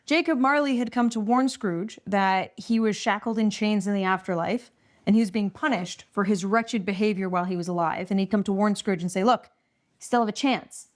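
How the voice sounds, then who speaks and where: Informal woman co-host, medium-pitched voice. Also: moderate pace. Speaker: podcast co-host. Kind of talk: casual chat retelling and discussing a story.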